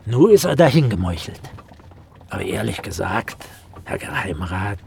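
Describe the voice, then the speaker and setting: Radio drama: ingratiating, opinionated literary gentleman, very lively inflection, lightly noisy recording. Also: fairly low pitch, normal speed, gravelly. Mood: conspiratorial. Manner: pompous, rhetorical, swinging to muttered asides. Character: Herr Hund, opinionated, ingratiating literary man, slyly malicious. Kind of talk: German radio play acting.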